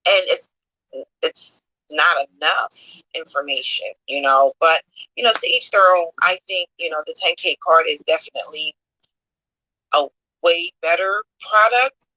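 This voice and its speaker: A woman's voice: deep voice, pushed and bright, no room sound, low-quality recording. A woman moderating a casual chat, cheerful and enthusiastic as she asks questions.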